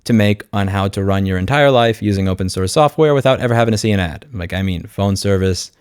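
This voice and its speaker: Male video host, lowish voice, very dry sound, informal and sardonic, plain-spoken advocate talking off the cuff. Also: fast, clean tone, no echo. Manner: blunt, sardonic, informal with swearing. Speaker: YouTube host and consumer rights advocate. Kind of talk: unscripted vlog monologue, screen walkthrough.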